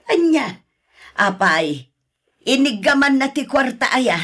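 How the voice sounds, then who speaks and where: Frank, concerned sister-in-law. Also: female voice, high-pitched, sing-song, takes it slowly, fairly bright tone, heavily acted. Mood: dismayed. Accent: Ilocano. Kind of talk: radio drama acting.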